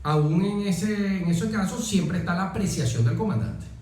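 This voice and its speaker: Echoey, mellow-voiced middle-aged Venezuelan man. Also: high-pitched, expressive, normal speed. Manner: emphatic repetition, rhetorical questions. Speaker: interview guest, military officer. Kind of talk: conversational anecdotal interview. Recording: very echoey, reverberant room.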